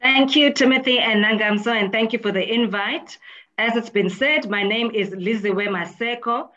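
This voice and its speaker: A woman's voice: a little high, normal speed, a bit bright. A woman from South Africa introducing herself on a panel in a polite, gracious way. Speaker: panelist, IT executive. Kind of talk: brief self-introduction. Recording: dull, muffled sound.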